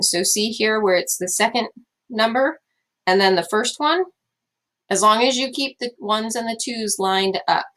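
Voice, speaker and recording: Scratchy-voiced young woman, math teacher, steady lecture, casual fillers. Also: mid-range voice. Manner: steady explanatory pace with fillers. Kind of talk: recorded classroom lecture.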